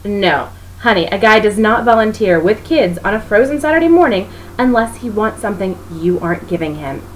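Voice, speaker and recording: A woman's voice: mid-range voice, animated, brisk, natural voice. A woman voicing a nosy, teasing best friend who is a young mother, in a story reading. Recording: noisy home recording.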